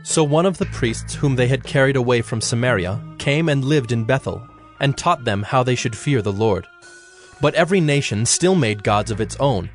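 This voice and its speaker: A man narrating scripture from a script in a solemn, measured, formal manner. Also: mid-range voice.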